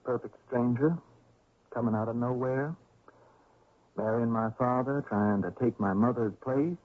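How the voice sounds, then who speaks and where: An actor with an American accent playing a sullen, resentful young stepson in a mining-camp radio drama, terse and guarded. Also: male voice, mid-range voice, a little slow, natural voice. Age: young adult. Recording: narrow-band old radio recording.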